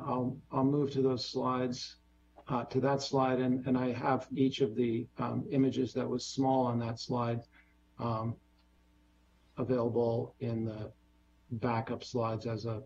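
A man presenting a bridge design on a video call, explaining in a measured way with many fillers. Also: mid-range voice, little pitch movement, vocal fry, darkish tone, slightly rough, lo-fi sound.

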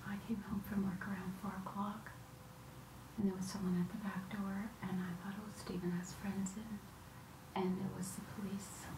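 A woman's voice: little pitch movement, normal speed. Middle-aged woman, subdued range, echoey distant recording. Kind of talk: slow emotional personal recollection. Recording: echoey, distant mic with noisy rumble.

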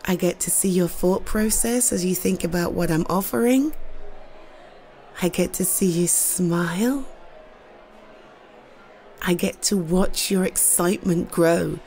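A British woman playing an affectionate, slightly shy girlfriend in an intimate roleplay, speaking softly and hesitantly to her partner. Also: fairly low pitch, low-quality recording. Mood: tender, earnest. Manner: soft, hesitant, tender, with halting repeats.

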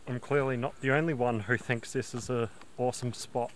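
A young man narrates his hike into a camera held at arm's length, easygoing and keen on the views. His voice sits in an ordinary middle register, and the open outdoor air is clearly audible behind him. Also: mid-range voice, a little fast, a little hiss or hum. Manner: relaxed, enthusiastic about scenery. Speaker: hiking vlogger. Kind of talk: casual vlog narration. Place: outdoors on a bush trail and beach. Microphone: handheld camera at arm's length.

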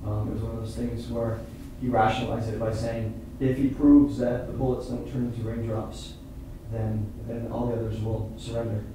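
A middle-aged man speaking as a playwright at an informal post-show talkback, halting and earnest as he explains. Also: mid-range voice, flat delivery. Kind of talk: informal discussion with an audience. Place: indoor theatre stage, seated on the floor. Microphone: far camera microphone. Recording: distant echoey hall recording with noise.

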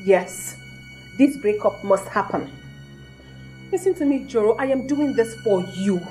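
A woman acts out a snobbish young Nigerian girlfriend in a comic melodrama. Her voice is high, and she is blunt and scornful, hammering each point with repetition. Faint music sits underneath. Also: animated, measured pace, mellow, low-quality recording. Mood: scornful, haughty. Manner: emphatic, scolding, repetitive. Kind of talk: comedic melodrama acting.

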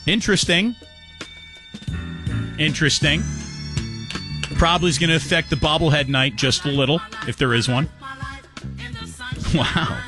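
A man's voice: on the high side, measured pace, long pauses, music in the background. A man hosting sports talk radio, commenting in a mocking, incredulous, jokey manner. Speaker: radio talk show host.

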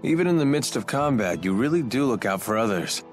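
An actor playing a roguish, cocky mercenary in a video game dub, with a casual, wry way of talking. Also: male voice, mid-range voice, animated, a little fast, soft background music, close to an everyday voice. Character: roguish, cocky mercenary man with easy swagger.

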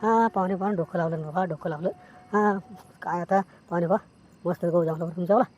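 Lady doing a nosy village fella, rural maharashtrian accent, coaxing and quick, lowish voice, cartoon comedy. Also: darkish tone, lightly acted. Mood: admiring, chatty. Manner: insistent, repetitive. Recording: noticeable background noise.

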